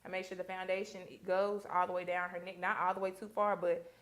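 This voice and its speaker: A woman's voice: lowish voice, little pitch movement, a little fast, fairly bright tone. A woman, a makeup artist chatting casually and explaining her steps as she works in a beauty studio. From Mississippi. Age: 20s. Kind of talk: casual chat while working.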